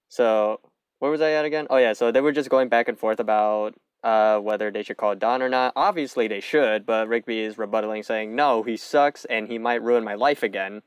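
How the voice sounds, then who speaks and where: A man's voice: fairly low pitch, clear, resonant tone, no room sound. A young man co-hosting a podcast, casually recapping a cartoon episode and swearing freely. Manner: loose, swears casually, narrates plot points. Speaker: podcast co-host.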